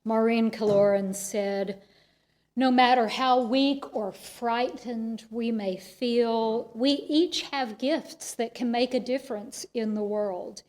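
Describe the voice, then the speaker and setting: A woman calmly reading closing words in a church service. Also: on the high side, takes it slowly. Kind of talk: reading a benediction. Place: church sanctuary.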